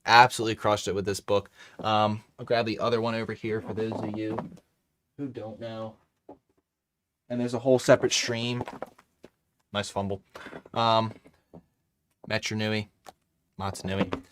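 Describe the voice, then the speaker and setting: A man's voice: lowish voice, flat delivery, measured pace, long pauses, fairly bright tone, a little hiss or hum. A man hosting a casual live stream, chatting loosely with many fillers and restarts while reading chat. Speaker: livestream host.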